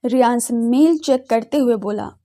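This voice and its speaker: A woman narrator reading a scripted audio drama. Her voice is fairly high with a mellow, soft tone. She tells the story expressively. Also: unhurried.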